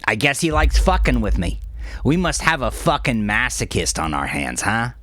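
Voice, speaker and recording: A man's voice: medium pitch, big pitch swings, moderate pace, a bit bright, dry recording, pitched above the performer's own voice. A man voicing a cocky, sadistic male gangster in an audiobook, wisecracking and profane with theatrical swagger. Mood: mocking, cocky. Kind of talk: audiobook character dialogue.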